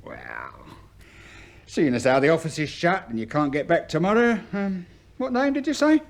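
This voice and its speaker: An actor playing a garrulous, complaining working-class storekeeper with a London accent in a British radio play. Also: male voice, a little high, sing-song, normal speed, vocal fry. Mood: officious, wheedling. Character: chatty grumbling working-class male storekeeper, officious about records.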